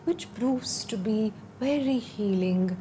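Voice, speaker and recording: A woman leading a guided meditation in a calm, soothing, measured way, leaving long pauses between phrases. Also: a little high, slight background noise, rough recording. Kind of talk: slow guided meditation narration. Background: background music.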